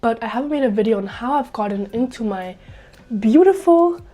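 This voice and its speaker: A young woman from Spain talks fast and chattily, full of "like" and quick asides, her voice still a bit off from a recent cold. Her tone is mellow and soft rather than bright. She speaks to camera from a small dorm room. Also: a little high, lively intonation. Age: young adult. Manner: chatty, upbeat, lots of "like". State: recovering from a cold. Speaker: vlogger. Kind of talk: casual vlog talk to camera.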